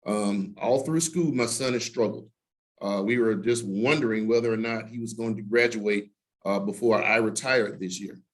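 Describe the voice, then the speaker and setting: A man in his fifties, an Army recruiter, giving a halting talk to students with many filler sounds. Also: on the low side. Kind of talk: presentation to students.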